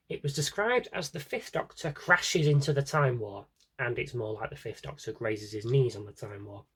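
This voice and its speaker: Animated young British man, slightly husky. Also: mid-range voice. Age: young adult. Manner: dry, self-deprecating, rambling asides. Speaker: video creator. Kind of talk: casual, chatty collection show-and-tell.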